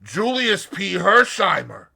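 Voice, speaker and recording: Brief: man playing a brisk, cheery young fellow, raised and bright, lively. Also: high-pitched, vocal fry, husky, voice pushed up, heavily acted. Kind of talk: audiobook character voice.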